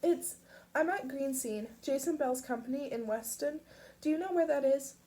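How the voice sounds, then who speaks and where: A woman voicing a frightened teenage girl who is choked up and sniffling, her voice rasping at the edges. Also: sits high, animated, brisk, voice pushed up. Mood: pleading.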